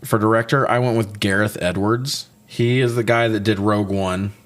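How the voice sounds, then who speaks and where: A man's voice: lowish voice, fairly even intonation, moderate pace. A man co-hosting a casual movie review, talking loosely and swearing often. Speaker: podcast co-host reviewing films.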